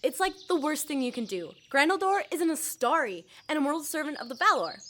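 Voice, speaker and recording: Actress as intense young female gamer, fairly high and very animated, out for revenge. Faint birdsong. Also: fast talker, a little hiss or hum. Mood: indignant. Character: fierce, vengeful young female live-action role-player. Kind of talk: audio drama acting.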